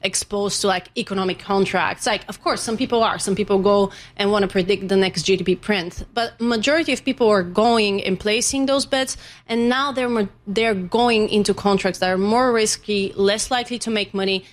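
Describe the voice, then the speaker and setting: A woman's voice: medium pitch, brisk. A woman from Bulgaria, a financial journalist giving a conversational expert explanation in an interview.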